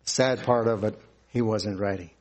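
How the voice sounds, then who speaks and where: A man preaching a sermon, reading scripture aloud and explaining it in a deliberate, earnest way. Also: lowish voice, darkish tone.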